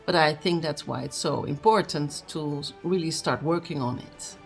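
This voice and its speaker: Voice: mid-range voice, moderate pace, vocal fry, soft background music. A speaker giving an earnest motivational message, with many fillers and restarts. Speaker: speaker at a book launch.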